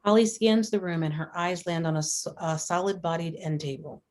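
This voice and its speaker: A woman's voice: fairly low pitch, brisk, darkish tone. A woman reading scripted stage directions as narrator at a screenplay table read.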